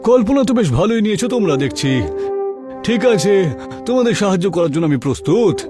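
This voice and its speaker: A man's voice: high-pitched, lively intonation, fast talker, pitched above the performer's own voice. A man voicing a kindly, generous older village headman in a cartoon, benevolent and approving. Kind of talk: cartoon character acting. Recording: music behind the voice.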